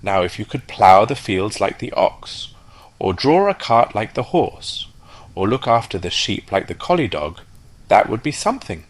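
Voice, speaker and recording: A man's voice: fairly low pitch, sing-song, moderate pace. A man voicing a peaceable, chatty female duck with a raised, quacking voice.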